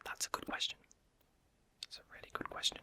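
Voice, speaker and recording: A young adult creator answers viewer questions in a steady, fully unvoiced ASMR whisper. The whisper is very bright and crisp, with a sharp airy hiss. Talk meanders with frequent "um"s and quick self-corrections. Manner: hesitant and rambling, many "um"s, self-correcting.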